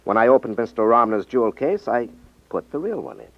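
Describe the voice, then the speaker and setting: An actor playing a confident, wry ex-magician turned detective in a 1950s radio drama, explaining things with self-assurance. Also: male voice, mid-range voice, sing-song, fairly quick, no echo. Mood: showing off. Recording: dull, dry 1950s radio recording.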